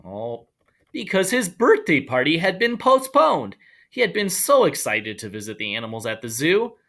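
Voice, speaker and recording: A man reading a children's story aloud in an animated storytelling style. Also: fairly high pitch, normal speed. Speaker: storybook reader.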